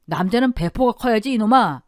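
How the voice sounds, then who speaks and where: A woman voicing a blunt, gruff older male restaurant owner who scolds loudly but kindly, in a dry recording. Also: fairly high pitch, animated, fairly quick, no echo, close to an everyday voice. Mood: scolding.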